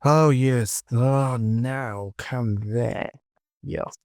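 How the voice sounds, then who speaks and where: A man giving a casual software tutorial, thinking aloud and muttering short clipped phrases to himself as he works. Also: mid-range voice, drawn-out words, dry recording, clean studio sound. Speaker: 3D modeling tutorial presenter.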